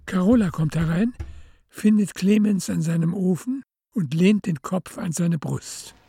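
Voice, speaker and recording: An actor as the calm narrator of a literary radio play, hushed and measured in his telling. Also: high voice, gravelly, breathy edge, exaggerated voice. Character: calm literary storyteller of a love story.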